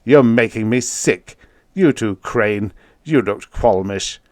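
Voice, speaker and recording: A man's voice: fairly low pitch, slow pace, a little mellow, no echo. A man voicing a contemptuous, scheming male scientist in an audiobook, curt and condescending. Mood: angry, scornful. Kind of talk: audiobook character acting.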